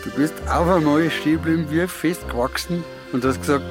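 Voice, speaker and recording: An actor playing a fond, wry, stubborn old hiking companion with a Bavarian accent, in a naturalistic dialect radio drama. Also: male voice, a little high, brisk, over music, natural voice. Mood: fond, reminiscing. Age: older.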